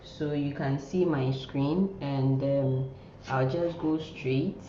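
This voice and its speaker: A woman with a very low, mellow voice teaches an informal online class. She talks slowly and haltingly, often repeating herself. The sound is muffled and echoey, picked up by a computer microphone in a room. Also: fairly even intonation. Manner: halting, repeating words and phrases. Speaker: nursing lecturer. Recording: muffled, roomy sound with faint noise.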